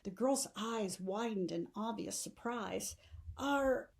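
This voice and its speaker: A woman's voice: mid-range voice, moderate pace, a little mellow. Woman reading a story aloud. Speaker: teacher reading a novel aloud. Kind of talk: expressive audiobook-style storytelling.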